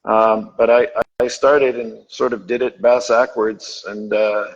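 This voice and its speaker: Gravelly husky man on a dull call line. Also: on the low side, little pitch movement. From Zambia. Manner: halting, many "uh"s, dry humor. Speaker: honey supplier guest. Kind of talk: casual online panel chat.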